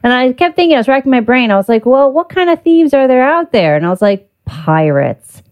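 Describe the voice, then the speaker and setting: A woman's voice: high voice, sing-song, fairly quick, highs cut off. Enthusiastic chatty woman podcast host. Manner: enthusiastic, chatty, laughs often. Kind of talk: casual interview chat.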